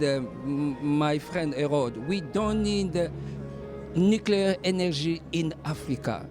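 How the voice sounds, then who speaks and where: A man from Congo with a Congolese accent, a reverend answering interview questions at a dinner event, earnest and halting with many fillers and restarts. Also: on the high side. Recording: music playing behind.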